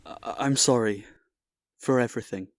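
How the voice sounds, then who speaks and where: An actor playing a sheepish, guilt-ridden colleague in an audio drama, speaking with hesitant stammers. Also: male voice, mid-range voice, moderate pace, no echo, close to an everyday voice. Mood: contrite.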